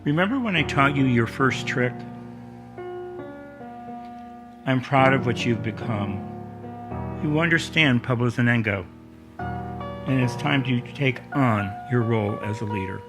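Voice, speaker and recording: Male actor as burdened old-guard ringmaster, paternal, solemn, confiding, radio drama, middle-pitched voice, clear music behind. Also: moderate pace, natural voice. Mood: tender, weary. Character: Columbus, burdened ringmaster king confessing to his chosen heir.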